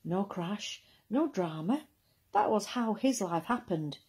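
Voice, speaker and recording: A woman giving an expressive recitation of poetry as a reader. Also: mid-range voice, slow, husky.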